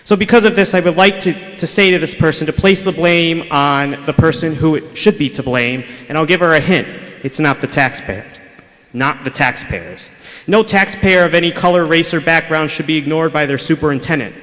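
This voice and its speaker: A man's voice: a little high, moderate pace, fairly bright tone. A man giving a pointed, combative public comment at a school board meeting, speaking into a podium microphone in a city meeting chamber. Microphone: podium microphone over public address. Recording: public address audio in a reverberant hall.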